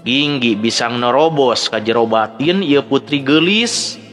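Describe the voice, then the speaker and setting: A man's voice: mid-range voice, expressive, a little slow, a bit bright. A man with a Sundanese accent narrating a dramatic story as a storyteller. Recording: background music under the voice. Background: music.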